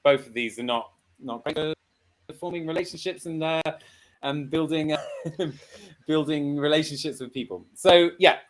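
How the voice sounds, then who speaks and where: Male discussion host, informal and encouraging, voice a touch high. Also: unhurried. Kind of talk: casual live group discussion show.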